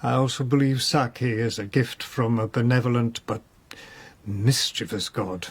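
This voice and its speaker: An actor playing a whimsical, evasive older nobleman in a radio drama, musing with gentle irony. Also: mid-range voice, steady tone, measured pace, husky. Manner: musing, playful, gently ironic. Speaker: suspect in a radio play. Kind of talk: British radio drama acting.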